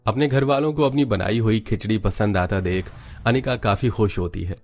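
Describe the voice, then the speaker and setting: A man's voice: lowish voice, moderate pace, soft background music. A man narrating a Hindi cartoon story in a scripted storytelling style.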